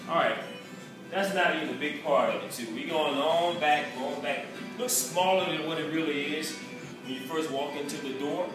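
Upbeat gym owner, echoey distant audio. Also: male voice, fairly high pitch, normal speed. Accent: Southern US. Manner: upbeat, boastful salesman patter. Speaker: gym owner giving a tour. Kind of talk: casual walking tour. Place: indoor gym, echoey rooms. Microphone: handheld camera following him. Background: music playing in the gym.

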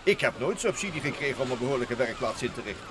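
An actor playing a fussy, hesitant professor and inventor in an old radio play. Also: male voice, medium pitch, lively intonation, fast talker, close to an everyday voice. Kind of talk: vintage Dutch radio play acting. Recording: old radio recording with noise.

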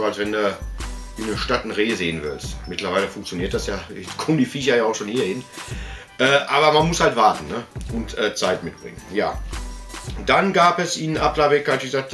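A man's voice: medium pitch, expressive, a little fast, bright, forceful tone, soft background music. A man, chatting casually to camera in a colloquial, rambling way full of tag words. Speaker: host of a collectibles video channel. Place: small indoor collector's room.

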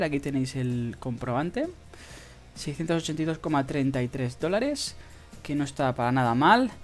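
A tutorial host speaks with a Spain Spanish accent in a casual screen-recording style. The voice sits at a middling pitch and moves haltingly, often pausing and stretching out hesitations. Faint music plays behind the talk. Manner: halting, hesitant delivery with drawn-out pauses. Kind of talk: casual screen-recorded tutorial about earning money online. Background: background music.

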